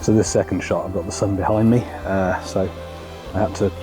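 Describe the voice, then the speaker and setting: Guy with a bit of a croaky cold voice, lowish, rambling with lots of ums out in the woods, music going loud behind. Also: fairly quick. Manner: relaxed, hesitant, many ums. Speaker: photography vlogger. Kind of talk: casual walk-and-talk narration. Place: outdoors in a forest.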